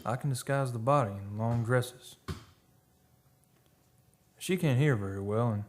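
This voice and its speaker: An actor playing a haunted, obsessed oil driller confessing a horror in a halting, brooding radio monologue. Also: male voice, mid-range voice, a little mellow, natural voice. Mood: dreamy, unhinged. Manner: halting, brooding, dramatic storytelling.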